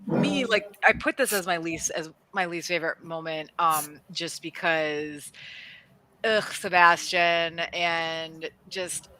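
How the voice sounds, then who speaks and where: A woman co-hosting a podcast, chatting casually about TV in a halting, rambling way that often repeats words. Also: fairly low pitch, bright, forceful tone, rough recording. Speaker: podcast host. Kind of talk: casual chat about a TV show.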